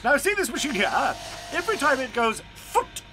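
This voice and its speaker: An actor playing an eccentric, excitable male chocolate factory owner, playful and theatrical, in a studio audio drama. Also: very high voice, moderate pace. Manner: playful, theatrical, bursts into rhyming chants.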